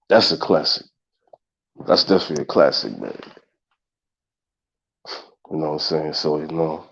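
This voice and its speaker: A man in his thirties hosting a casual solo talk show, congested, with a loose, repetitive way of talking straight to his listeners. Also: very deep voice. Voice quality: gravelly and husky. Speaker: podcast host. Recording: dull lo-fi sound.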